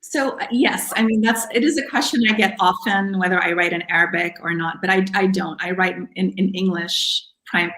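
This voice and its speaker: A woman's voice: on the low side, steady tone, normal speed, hardly any pauses, a bit bright. A woman from Kuwait, speaking as a poet in an earnest, encouraging way over a video call. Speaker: poet guest. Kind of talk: casual Q&A answers. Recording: echoey room.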